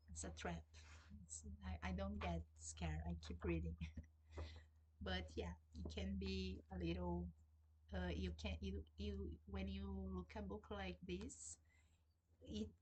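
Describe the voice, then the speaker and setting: Woman with a Portuguese accent, slow and hesitant, husky voice with a gravelly edge; casual book vlog. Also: on the low side, a little hiss or hum. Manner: hesitant, many fillers and restarts, soft laughs. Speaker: book vlogger. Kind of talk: casual chat to camera. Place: at home in sunlight.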